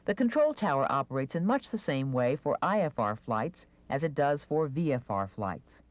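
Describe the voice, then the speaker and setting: A narrator reading a scripted instructional video in a measured, clearly enunciated manner. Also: mid-range voice, big pitch swings, dry recording.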